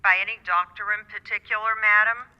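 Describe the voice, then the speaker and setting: A woman's voice: medium pitch, steady tone, fast, clean tone. A woman playing a cool, formal hospital receptionist in a radio drama, questioning politely through a telephone effect. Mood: cool politeness. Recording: noisy, dull, reverberant telephone sound.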